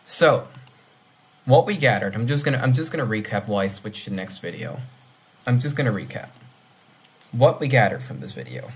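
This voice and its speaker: A young man whose first language is Dutch, rambling excitedly while reacting to an animation and thinking out loud. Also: medium pitch, normal speed, gravelly, long pauses, husky. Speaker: reaction video host. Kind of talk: casual reaction commentary.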